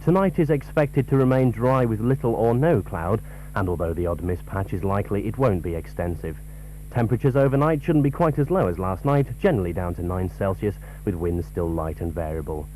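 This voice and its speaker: A man's voice: on the low side, brisk, a little mellow, a little hiss or hum, low-quality recording. A man reading scripted weather and station announcements as a television continuity announcer.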